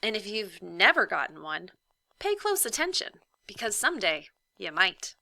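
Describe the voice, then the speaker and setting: A woman reading a children's story aloud like a teacher, with deliberate, emphatic phrasing and dramatic hushes. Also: mid-range voice, very animated, vocal fry, no echo.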